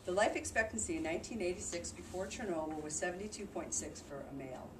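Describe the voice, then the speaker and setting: A woman's voice: fairly low pitch, lively intonation, fast talker. A woman giving an informal presentation about a trip to a group, explaining things in a conversational way. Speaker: presenter reporting on a charity trip. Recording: distant mic in noisy, echoey room.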